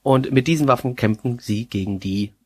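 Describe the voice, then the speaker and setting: A man hosting a casual anime review chat, talking in a halting, joking, self-mocking way. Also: mid-range voice, darkish tone, slightly rough. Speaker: host of an anime review show.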